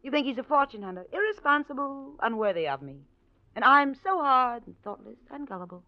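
Lively, willful society woman. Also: high voice, a little fast, no echo. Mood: arch, needling. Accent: American. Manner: arch, teasing, self-assured. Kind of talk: 1940s radio drama acting. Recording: muffled old radio recording, faint music.

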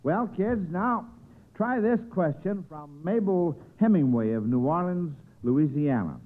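A folksy American man hosts a vintage radio quiz, his voice fairly high and thinned by a tinny broadcast recording. He speaks encouragingly, with small hesitations, as he handles questions and sponsor reads. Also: lively intonation, a little slow. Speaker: quiz show host. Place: radio studio.

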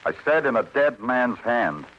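An actor playing a hardboiled police detective, clipped, dry and pressing, in old radio noir style. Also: male voice, mid-range voice, sing-song, a little slow. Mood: sardonic. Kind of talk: 1940s-50s radio noir acting and narration. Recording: muffled, noisy old radio recording.

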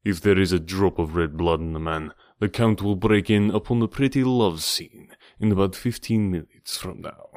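Man playing a smug, low-voiced scheming villain. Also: moderate pace, few pauses, a little mellow, dry recording, studio quality, lightly acted. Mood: smug, gloating. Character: scheming, gloating male villain, suave and smug. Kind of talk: audiobook character dialogue.

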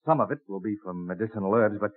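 An actor playing an eager young Quaker farmer and botanist in an old radio drama, speaking earnestly with thee and thy. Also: male voice, on the low side, fast, natural voice. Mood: excited, dreamy. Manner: earnest, enthusiastic, theatrical delivery with archaic thee and thy. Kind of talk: mid-century American radio drama acting. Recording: tinny, band-limited vintage radio recording.

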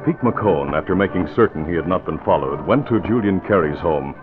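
A man narrates a classic radio drama in a formal, scripted style. His voice is very low, the recording is narrow and vintage, and music plays clearly behind him. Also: expressive, a little fast.

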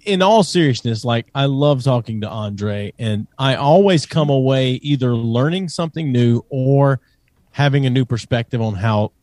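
A man co-hosting a podcast in casual banter, teasing and deadpan. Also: medium pitch, normal speed, no echo. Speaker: podcast co-host.

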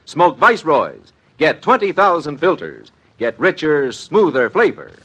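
A man's voice: on the high side, wildly swooping pitch, unhurried, a bit bright, soft background music. A man delivering an emphatic 1950s radio commercial as the announcer, stressing key words.

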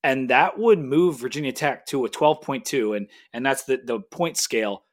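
A man's voice: mid-range voice, normal speed. A man hosting a sports podcast, chatting casually and enthusiastically.

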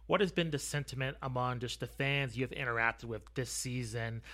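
Bright-voiced male podcast interviewer. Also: medium pitch, fairly quick. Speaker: sports podcast host, interviewer. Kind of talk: casual interview questions.

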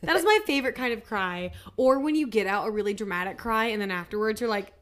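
A woman's voice: mid-range voice, fast talker, fairly bright tone. A woman in her twenties co-hosting a podcast, bantering playfully and giggling. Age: 20s. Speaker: podcast co-host. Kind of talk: casual chat.

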